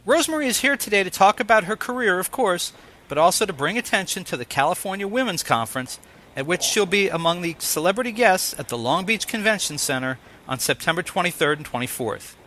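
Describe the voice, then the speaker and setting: Man, interview show host, high voice, bright tone, announcer copy and chat. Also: expressive, fairly quick. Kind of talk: scripted introduction and ads, then interview chat.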